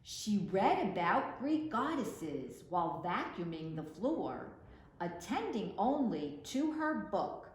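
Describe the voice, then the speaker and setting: A woman reading picture books aloud for children in an expressive, deliberate storytelling delivery, recorded in a roomy space. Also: medium pitch. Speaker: children's librarian, storytime reader. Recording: roomy echo with faint background noise.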